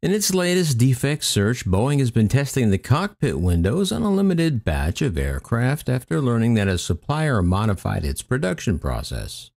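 A man's voice: medium pitch, big pitch swings, normal speed, creaky, hardly any pauses, a little mellow. A man narrating aviation news from a script, reading reports aloud with brief comments of his own. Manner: reads news reports aloud with occasional asides. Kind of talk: scripted news narration read over footage.

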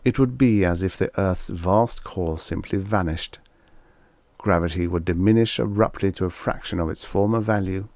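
A man's voice: sits low, normal speed, darkish tone, dry recording. A man reading a scripted science fiction story aloud as an audiobook narrator.

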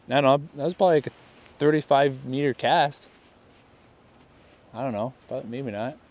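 A man's voice: medium pitch, a little mellow. Chatty young man casting by a lake. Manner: chatty, rambling, often restarts and trails off. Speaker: fishing video host. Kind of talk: casual outdoor gear-test commentary. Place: outdoors on a lakeshore. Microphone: chest-mounted camera.

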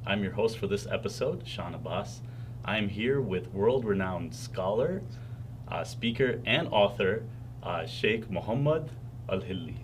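A man's voice: lowish voice, animated, unhurried. A man in his thirties hosting a studio interview, asking polite, friendly questions with some fillers. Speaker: host. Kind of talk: interview host. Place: studio set. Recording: clear hum behind.

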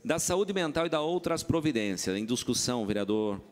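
A man with a São Paulo accent chairing a council session, calling roll and announcing votes in a brisk, businesslike way. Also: medium pitch, expressive. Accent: Brazilian Portuguese, São Paulo state. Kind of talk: procedural chairing. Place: council chamber.

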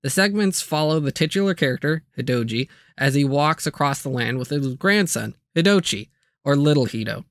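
A man with a fairly high voice narrates a video essay in a casual, comedic way. He leans on playful exaggeration and dry-humored asides, and the sound is close and dry, like a voice-over mic. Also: expressive, moderate pace, dry recording. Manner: jokey, exaggerated mock horror and asides. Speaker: video reviewer.

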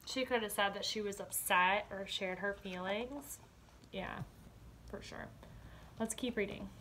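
A woman's voice: mid-range voice, measured pace, sharp tone, a little hiss or hum. A young woman teaching kindergarten from home, explaining simply and asking questions as if the children answer. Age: young adult. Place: small room at home. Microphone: device camera a step or two away.

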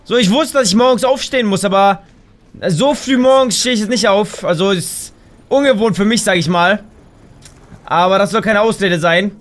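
A young man commentating his own gameplay on a headset mic, excitable and quick to shout, a little hoarse and tired. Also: high-pitched. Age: young adult. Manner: excitable, exclaims and shouts at the game. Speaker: gaming YouTuber. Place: home gaming room, indoors.

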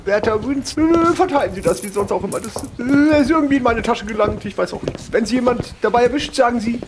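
A man playing a haughty, roguish gentleman in a tabletop game, with an affected, genteel manner. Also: very high voice, fast, noisy background. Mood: sly, polite. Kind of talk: improvised tabletop role-play acting.

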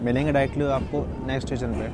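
A man's voice: medium pitch, steady tone, rapid-fire. A man narrating a casual travel vlog from a packed train and station, chatty and speaking straight to his viewers. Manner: chatty, addresses viewers as brothers. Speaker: travel vlogger. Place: crowded train and station platforms. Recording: noisy crowded train recording. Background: loud crowd and train noise.

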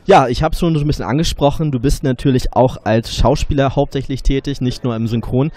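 A man's voice: mid-range voice, steady tone, a little fast, warm tone. A man in his twenties with a slight cold, hosting a casual interview with a handheld mic outside a café. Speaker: interviewer and host. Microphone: handheld reporter microphone.

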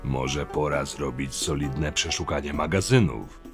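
A man's voice: rumbling low, measured pace, over music. A man playing a stern, loyal, level-headed chief of camp guards in a fantasy audio drama, speaking firmly and matter-of-factly. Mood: businesslike. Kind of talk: acted dialogue, fan audio drama.

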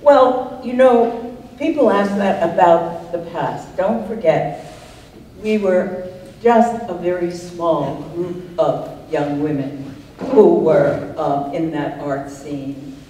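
An older woman, a writer on a panel, answering audience questions in a reflective, unhurried way and laughing often. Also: on the low side, warm tone. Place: art center talk room, audience present. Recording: echoey room, distant mic, faint noise.

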